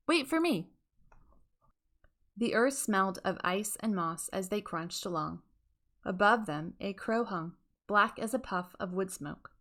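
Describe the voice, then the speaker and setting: A woman narrates a storybook for children. Her voice is bright and forward at a middle pitch. She reads expressively, as scripted audiobook narration. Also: a little slow.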